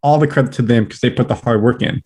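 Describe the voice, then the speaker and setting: Upbeat fast-talking young man. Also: medium pitch, a little mellow, breathy edge. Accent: Californian. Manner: upbeat, talks fast in bursts, many "like" fillers. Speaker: podcast guest. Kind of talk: casual interview chat.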